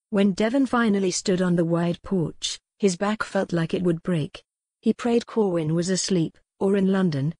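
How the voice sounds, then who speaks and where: A woman's voice: lowish voice, dark tone, no echo, clean studio sound. A woman narrating a historical novel as scripted dramatic audiobook fiction.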